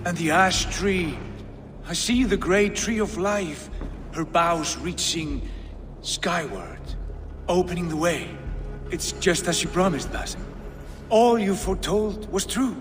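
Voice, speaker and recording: Prophetic Viking leader, halting, grandiose, slow, video game drama, long reverb, loud music behind. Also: male voice, high voice, expressive, exaggerated voice. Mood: entranced, reverent. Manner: slow, portentous, halting delivery. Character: awestruck, prophetic Viking leader convinced of his greatness.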